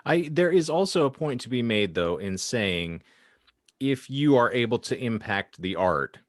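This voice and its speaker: A man's voice: medium pitch, animated, measured pace, no room sound, clean studio sound. A man hosting a podcast panel discussion, speaking in an articulate, wordy and wry way. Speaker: podcast host.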